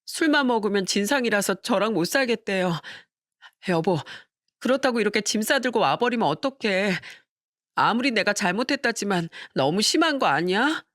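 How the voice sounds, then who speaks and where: A woman's voice: mid-range voice, expressive, moderate pace, a bit bright, no echo, clean studio sound, lightly acted. A woman voicing a desperate young daughter-in-law who pleads over her husband in a dramatized family story. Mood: pleading.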